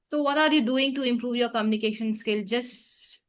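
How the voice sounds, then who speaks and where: Woman interviewing, sharp bright voice, kinda high, nudging the other person to her view. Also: normal speed. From India. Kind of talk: casual conversation.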